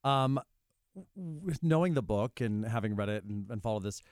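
A man's voice: mid-range voice, expressive, moderate pace, a little mellow, no room sound, clean studio sound. A man hosting a casual panel interview, putting questions to his guests. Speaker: podcast host.

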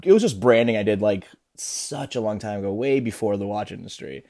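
A man's voice: on the low side, a little fast, dark tone. New guy, chill and self-deprecating, guest on a chat show. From New Jersey, USA. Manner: laid-back, self-deprecating, amused. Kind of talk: casual chat over a call.

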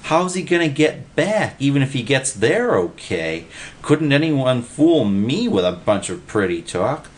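A man's voice: medium pitch, sing-song, unhurried, pitched above the performer's own voice. A man voicing a simple, timid male underling who chatters in folksy, ungrammatical talk in a storybook reading. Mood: chattering, doubtful.

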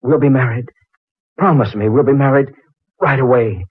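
An actor playing a despairing, lovesick, weary young inventor with halting delivery, in 1940s radio drama style. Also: male voice, medium pitch, breathy edge, narrow, tinny audio, close to an everyday voice. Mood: desperate devotion. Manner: halting, heavy-hearted.

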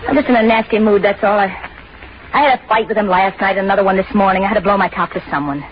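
An actress playing a bored, bitter and seductive American housewife, sardonic and blunt, in a 1940s radio drama. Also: female voice, mid-range voice, fast talker, lightly acted. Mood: moody, softening. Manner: sardonic, blunt, world-weary. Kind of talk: 1940s radio noir acting. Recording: noisy, muffled old 1940s radio recording.

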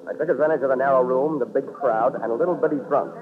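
A man's voice: medium pitch, fast, close to an everyday voice. An American man playing a cool, wisecracking insurance investigator in a 1940s radio drama, with a wry, clipped tough-guy delivery. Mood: wry narration. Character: wisecracking hard-boiled male insurance investigator, cool and cocky. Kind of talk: 1940s radio detective drama acting and narration. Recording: scratchy, tinny 1940s radio recording.

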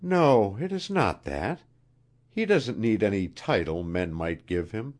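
A man voicing an anxious, earnest young queen in a storybook reading, halting and pleading. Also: lowish voice, big pitch swings, darkish tone, pitched above the performer's own voice.

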